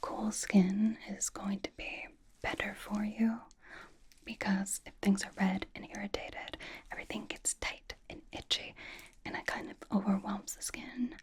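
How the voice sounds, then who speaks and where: A woman's voice: medium pitch, level tone, measured pace. A woman playing a playful, teasing young female K-pop idol in an ASMR roleplay, whispering casual, reassuring skincare pampering. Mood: soothing, instructive. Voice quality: breathy whisper. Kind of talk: ASMR roleplay, soft personal-attention whispering.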